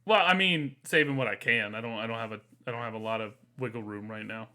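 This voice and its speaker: A man's voice: mid-range voice, fast talker, sharp tone. A man in his twenties co-hosting a podcast, chatting casually, joking crudely and laughing often. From Florida. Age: 20s. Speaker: podcast co-host.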